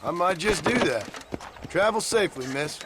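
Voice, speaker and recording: A man's voice: high voice, expressive, moderate pace, very noisy. A man playing a laconic, reticent Old West gunman, formally polite and dry, in a game cutscene. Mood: courteous. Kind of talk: video game cutscene acting.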